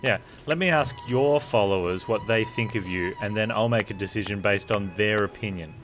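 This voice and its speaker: Guy streaming a game, lowish voice, casual sarcastic jokey commentary, music going in the bg. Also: normal speed, a bit bright. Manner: sarcastic, joking.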